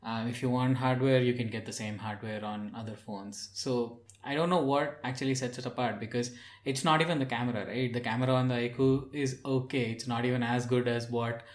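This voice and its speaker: A man's voice: mid-range voice, fairly even intonation, fairly quick, roomy sound. A man chatting casually as a panelist on a tech podcast.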